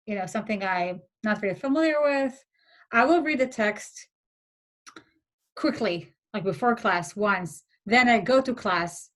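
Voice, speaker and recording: A woman whose first language is not English, a graduate instructor giving reassuring, chatty advice over a video call, with lots of "like" fillers. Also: a little high. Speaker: graduate student instructor leading a workshop. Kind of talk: casual advice in an online workshop.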